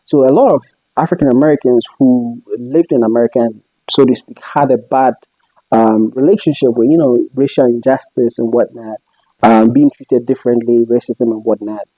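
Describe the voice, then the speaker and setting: A young Ghanaian man whose accent blends home and international English, talking casually and laughing easily. His voice is mellow and a little husky. The recording is dry and dull. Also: medium pitch, fairly even intonation, normal speed, dry recording. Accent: Ghanaian mixed with international English. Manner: chatty, laughs often, lots of fillers. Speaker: interviewer and web show host.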